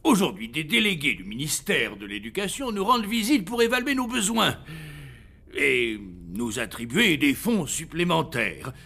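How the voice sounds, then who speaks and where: A man with a creaky voice, playing an excitable, exasperated cartoon character who is quick to scold. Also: on the high side, exaggerated voice. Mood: stern. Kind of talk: animated cartoon dub acting. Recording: loud music behind.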